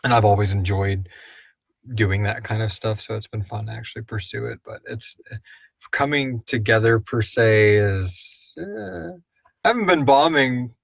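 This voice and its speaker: Low-voiced man rambling through a Q&A. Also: measured pace, gravelly, no room sound. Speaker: podcast host and creator. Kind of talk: casual live Q&A chat.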